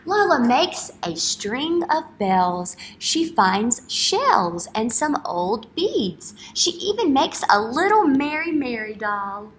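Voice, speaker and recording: A woman in her thirties speaking as an early-grade teacher, reading a picture book aloud in an expressive, instructional way and checking understanding with questions. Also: on the high side, slow pace, few pauses, slight background noise. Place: indoor school classroom.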